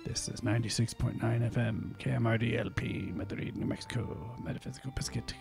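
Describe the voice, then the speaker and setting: A man at a community radio studio microphone reads verse in a warm, dark, mid-pitched voice. His delivery is theatrical, rising to loud declamation and sinking to near whispers. Soft instrumental music plays quietly underneath. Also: steady tone, a little fast, gravelly. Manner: theatrical, swells loud then drops to a whisper. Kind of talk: dramatic poetry reading on radio. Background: faint instrumental music.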